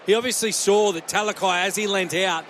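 A man's voice: high-pitched, fairly even intonation, fairly quick. A man, giving chatty, exclamatory expert comments on a rugby league match. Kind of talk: live sports commentary. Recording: crowd and noise behind.

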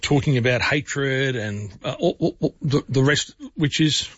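A man's voice: mid-range voice, unhurried, fairly bright tone, husky, no room sound, muffled recording. A man hosting a talk radio discussion, conversational with frequent restarts. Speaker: radio host.

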